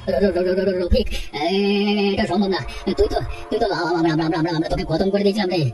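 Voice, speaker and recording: A woman voicing a thuggish, cowardly male village villain in a horror cartoon, rough-talking and prone to stammering. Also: mid-range voice, steady tone, fast, a little mellow, natural voice. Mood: terrified. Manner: swearing, stammering in fright. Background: music.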